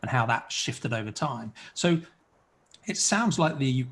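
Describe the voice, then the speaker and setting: A man's voice: medium pitch, lively intonation, a little slow, a bit bright, a little hiss or hum. A man hosting an interview podcast, asking encouraging questions and rephrasing them at length. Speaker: podcast host.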